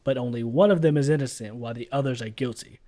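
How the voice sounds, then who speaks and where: A man's voice: medium pitch, fast talker, dark tone. A man giving casual voice-over commentary as a video reviewer, blunt and sarcastic, swearing freely. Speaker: YouTube reviewer.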